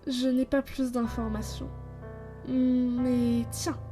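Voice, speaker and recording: A female actor plays a middle-aged mob mother in an audio drama. She speaks with grave restraint in a slightly high, warm-toned voice. Quiet music runs underneath. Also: little pitch movement. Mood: grave, controlled. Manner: measured, withholding, grave. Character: composed, grave mafia mother. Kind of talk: French audio drama acting. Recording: faint music behind.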